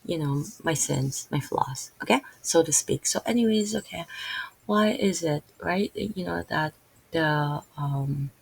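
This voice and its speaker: A middle-aged woman with a Filipino accent, talking casually and haltingly to her channel with many restarts. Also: low voice, vocal fry, poor audio. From Philippines. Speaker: channel host. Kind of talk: casual unscripted video talk.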